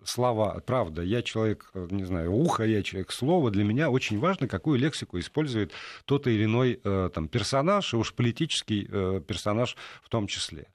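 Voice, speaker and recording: A man from Russia arguing his point in a political talk show, pressing hard on key words between frequent pauses and restarts. His voice sits a little low and sounds close and dry. It is recorded in a studio. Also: lively intonation, dry recording. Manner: emphatic, many hesitations and restarts.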